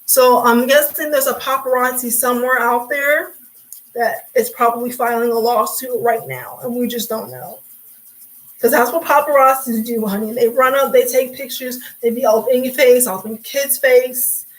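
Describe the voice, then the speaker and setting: A young woman hosting a casual livestream chat, talking in a sassy, chatty way, her voice hoarse and raspy from hours of talking. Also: fairly high pitch, steady tone, moderate pace, poor audio. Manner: sassy, chatty, calls viewers sweetie and honey. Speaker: livestream host.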